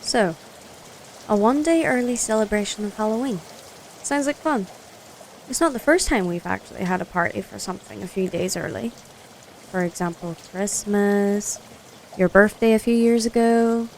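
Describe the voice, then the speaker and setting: A woman's voice: medium pitch, normal speed, slight background noise, lightly acted. A young woman playing a wary, dryly sarcastic college student in an audio drama. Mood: dry, casual. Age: young adult. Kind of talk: audio drama acting with self-talk.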